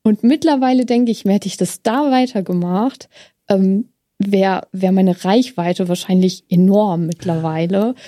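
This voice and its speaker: A woman with a mellow, dark-toned voice shares personal stories casually in an interview. She is candid but hesitant, often searching for words, and the recording is close and dry. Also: medium pitch, unhurried, no echo. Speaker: streamer, interview guest.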